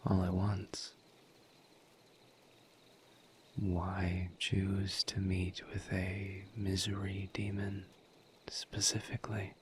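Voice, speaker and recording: A man voicing a calm, formal misery demon in an audio roleplay, speaking softly in a breathy near-whisper with measured pauses. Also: lowish voice, background noise. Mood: calm, perceptive. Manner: measured, halting, formal diction with long pauses. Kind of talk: audio roleplay, intimate scripted monologue.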